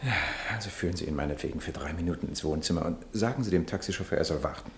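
Low-voiced, fast-talking, impatient gentleman. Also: slight background noise. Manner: brisk, urbane, impatient, hesitating with polite tags. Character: busy, well-mannered English gentleman, impatient but polite. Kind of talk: German radio play acting.

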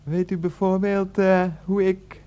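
A woman's voice: medium pitch, level tone, unhurried, mellow, highs cut off, close to an everyday voice. A woman in a radio play voicing a young nephew, stubborn and pleading, in a hesitant, wheedling way. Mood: sly, bargaining.

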